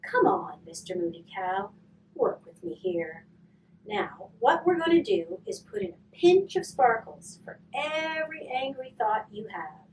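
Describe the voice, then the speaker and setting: A kindly old storybook grandfather, played by a woman, speaks with gentle, coaxing sympathy. The pitch swings freely, and the recording is roomy with faint noise behind it. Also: big pitch swings, measured pace, distant mic, heavily acted. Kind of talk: children's storybook character voice.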